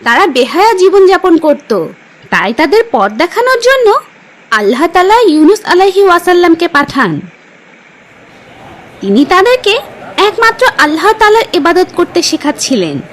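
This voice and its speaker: A woman's voice: very high-pitched, very animated, normal speed. A woman voicing a teacher who tells religious stories to children in a scripted cartoon narration. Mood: instructive. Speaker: storyteller teacher.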